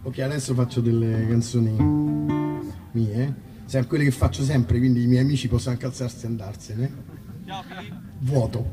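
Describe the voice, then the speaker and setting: A man's voice: medium pitch, fairly even intonation, normal speed, pauses often. A man with an Italian accent chatting casually between songs at a concert, rambling and joking with self-deprecating irony. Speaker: musician performing a live concert. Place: outdoor festival stage in a park. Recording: live open-air concert, distant mic, music. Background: acoustic guitar music.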